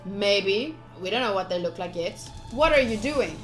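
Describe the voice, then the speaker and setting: A young woman streaming a visual novel, reading the text aloud with casual, gushy, expressive commentary. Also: mid-range voice, normal speed. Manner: expressive, gushy, slips into cute exclamations. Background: soft game music.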